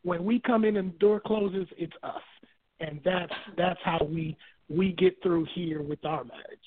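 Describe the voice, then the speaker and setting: A high-pitched man from Barbados speaks over a thin, tinny phone line. He talks as a guest in a relaxed, searching way, often repeating a word before the thought comes. He leans on homely school and family examples. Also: unhurried. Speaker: guest, author and marriage minister. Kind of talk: telling his life story on a call-in radio show.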